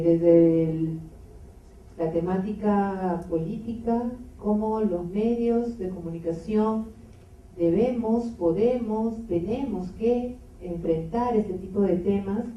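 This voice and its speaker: A woman moderating a public debate, speaking off the cuff and deliberately, with pauses between phrases. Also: medium pitch. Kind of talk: spontaneous introduction opening a public debate. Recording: echoey, distant, dull, slightly noisy room recording.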